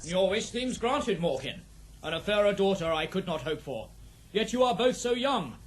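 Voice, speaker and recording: A man's voice: sits high, sing-song, moderate pace. A man playing a proud, noble warrior prince and loving father in a fantasy audio drama, with stately, declamatory speech. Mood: gracious, resigned.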